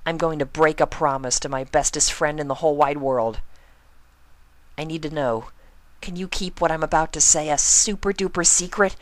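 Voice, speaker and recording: A woman voicing a bubbly, excitable young female pony with gushing, emphatic, childlike talk in a story reading. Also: sits low, moderate pace, dry recording, pitched above the performer's own voice, natural voice, low-quality recording. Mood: earnest.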